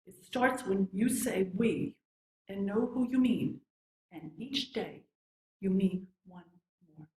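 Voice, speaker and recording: A woman reading a poem aloud at a service in a measured, deliberate delivery. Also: mid-range voice, expressive, warm tone. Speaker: poetry reader at a service. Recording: echoey room.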